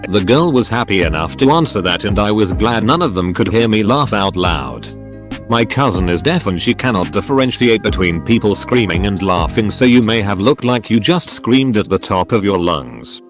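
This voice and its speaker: Male voice-over reader, lowish pitch, steady delivery, light music bed underneath. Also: normal speed. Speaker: narrator reading online posts. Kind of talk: scripted narration. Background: soft background music.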